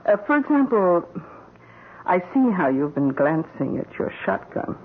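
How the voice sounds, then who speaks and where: Scheming housekeeper, thin radio sound. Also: female voice, fairly low pitch, sing-song. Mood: coolly coaxing. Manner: deliberate, insinuating, theatrical phrasing. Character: scheming middle-aged housekeeper, shrewd, coolly determined, yearning. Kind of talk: 1970s radio drama acting. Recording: narrow-band old radio recording, faint hiss.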